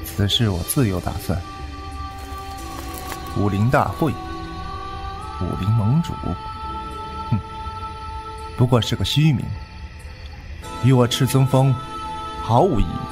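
Guy doing proud cold young sect boss, wuxia audio drama, slow n scornful, music behind. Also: medium pitch, lively intonation. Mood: cold, commanding. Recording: loud music under the voice.

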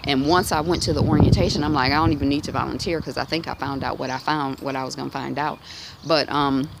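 A woman's voice: very deep voice, fairly even intonation, fast, vocal fry. A woman in her thirties talks casually into a phone held at arm's length while walking down a residential street, musing aloud with many fillers and restarts. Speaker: vlogger. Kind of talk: casual walk-and-talk monologue. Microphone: phone camera at arm's length. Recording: outdoor street noise behind.

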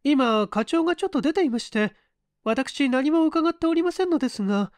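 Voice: sits high, expressive, normal speed, dry recording, studio quality, voice pushed up. A narrator voicing a polite, demure young woman secretary with courteous, deferential speech. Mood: polite, puzzled.

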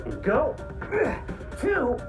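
A man's voice: extremely high pitch, huge pitch swings, very slow, distant mic, music in the background, heavily acted. A man playing a manic, vengeful hacker prankster in a video game, with a theatrical, mocking, foul-mouthed delivery. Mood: taunting. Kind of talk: video game villain performance.

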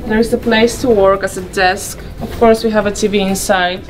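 A young woman presenting a walkthrough tour, listing features item by item in a measured way. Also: medium pitch, fairly even intonation, poor audio. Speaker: yacht company representative giving a tour. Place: inside a catamaran's saloon and cabins at a boat show. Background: music.

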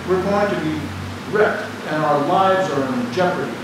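A man's voice: fairly high pitch, moderate pace. Older man preaching in an echoey church. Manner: dramatic, acts out imagined dialogue, rises to fervent exhortation. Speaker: bishop preaching. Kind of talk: sermon. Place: church sanctuary before the altar. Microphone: distant camera mic. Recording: echoey church from far off, background noise.

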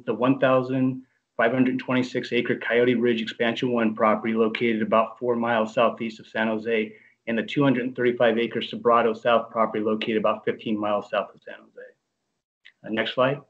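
A man on staff presenting a project briefing at a board meeting, reading formally from a script over a video call. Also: medium pitch. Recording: dull lo-fi video call audio.

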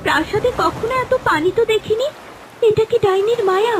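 Super high voice, woman playing a sweet-on-her-prince young mermaid, earnest cartoon fairy tale, music behind. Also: fairly quick. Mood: worried. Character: young mermaid in human guise, devoted and pleading. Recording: voice under clear background music.

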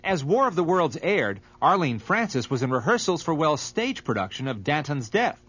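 Male narrator, scripted documentary read, husky with varied pitch, slightly muffled. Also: very animated, normal speed, a bit bright.

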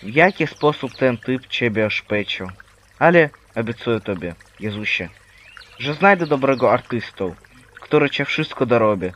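A man playing a poor, humble friar in an animated religious play, speaking earnestly and plainly. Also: mid-range voice, moderate pace, muffled recording, slight background noise, lightly acted.

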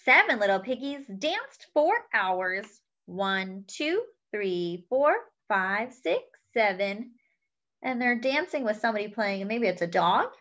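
Young woman, children's storytime reader, sing-song and bright, slightly muffled home webcam sound. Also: on the high side. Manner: cheerful, slow and sing-song, counting aloud. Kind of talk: reading a picture book aloud to children. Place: small room at home, indoors.

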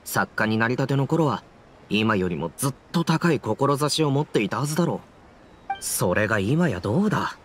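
A man's voice: mid-range voice, animated, moderate pace, slight background noise, close to an everyday voice. A man playing a weary, sceptical, reproving book editor in an anime game. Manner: exasperated, reproving, articulate. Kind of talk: Japanese anime game voice acting. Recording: background music under the voice.

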